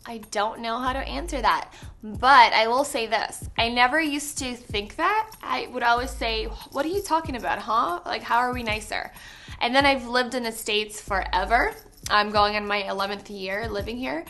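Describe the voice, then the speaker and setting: A young woman from Canada answering interview questions on set in a chatty, giggly way with lots of pauses and ums. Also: on the high side, bright, forceful tone, slight background noise.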